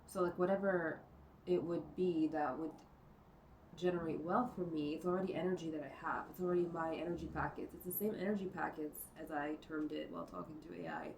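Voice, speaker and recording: A woman vlogging from home, thinking out loud in a rambling way, full of fillers and cursing. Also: deep voice, brisk. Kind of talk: rambling think-aloud monologue. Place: indoors at home. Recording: distant mic with faint noise.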